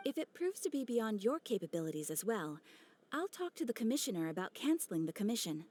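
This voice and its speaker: A woman's voice: mid-range voice, very animated, darkish tone. A woman voicing a polite, formal receptionist at an adventurers' guild in a video game. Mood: polite, reassuring. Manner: polite, formal, measured, professional. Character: poised, courteous female adventurers' guild receptionist. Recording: faint music behind the voice.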